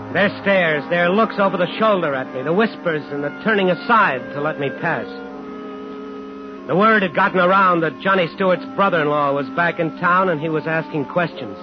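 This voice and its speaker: Actor as bitter, determined male homecomer; terse, pressing, hard-boiled radio-thriller delivery, slightly high pitch, muffled sound. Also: natural voice. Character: determined, probing man in uniform, bitter homecomer. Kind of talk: 1950s radio thriller acting and first-person narration. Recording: dull 1950s radio recording.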